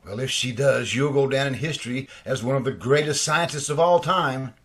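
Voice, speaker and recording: A man playing an eager, deferential scientist's assistant in old-time radio drama style, excitable and a bit hesitant. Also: medium pitch, moderate pace, lightly acted. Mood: concerned.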